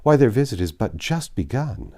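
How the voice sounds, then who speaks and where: Man as a hearty, teasing older matron, pitched up. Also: big pitch swings, normal speed, mellow. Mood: interjecting. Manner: exclamatory, teasing.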